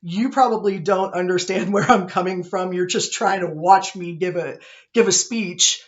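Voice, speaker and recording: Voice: medium pitch, moderate pace, lo-fi sound. A college communication instructor giving a recorded online lecture from an office, chatty and self-deprecating, often checking in with "right?". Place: own office, indoors. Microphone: computer webcam set above eye level.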